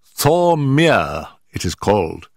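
A man reading an audiobook, voicing a brisk, sharp-minded male detective who sets out facts in a measured, emphatic way. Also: fairly low pitch, big pitch swings, dry recording, clean studio sound, pitched above the performer's own voice, full character voice. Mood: brisk, reasoning.

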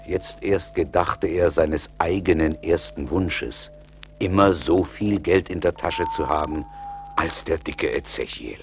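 A man's voice: low voice, measured pace, gravelly, over music. A man giving scripted fairy-tale narration in a German radio play. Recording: slightly noisy 1980s vinyl record.

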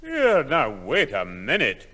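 Stubborn old grandfather, bright forceful voice, lively intonation, 1960s radio comedy. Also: mid-range voice, slow pace, full character voice. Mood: indignant. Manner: gruff, complaining.

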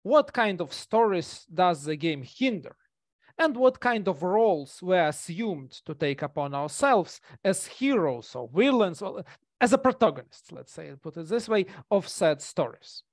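A man's voice: high voice, sing-song, unhurried, no echo, clean studio sound. A man, giving an academic talk over a video call on a headset mic, hesitant with many fillers and restarts. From Russia. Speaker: conference presenter. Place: small room indoors. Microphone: gaming headset.

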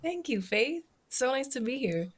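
A woman's voice: sits high, sing-song, unhurried, husky. A woman in her forties telling her story casually as an interview guest on a video call from home. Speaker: guest singer and pianist. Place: book-lined room at home, indoors. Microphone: laptop or webcam video call.

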